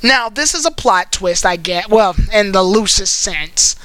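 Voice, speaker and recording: A host chatting casually while recapping and critiquing an anime series, with an animated, wry storytelling manner. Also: mid-range voice, measured pace, dry recording. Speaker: host of an anime commentary stream.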